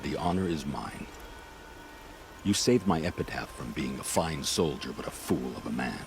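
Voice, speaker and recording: An actor playing a grim, honour-bound soldier in a video game, talking in a solemn, formal way. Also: male voice, extremely low pitch, animated, normal speed, creaky, slight background noise, exaggerated voice. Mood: solemn, humble. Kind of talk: video game cinematic dialogue.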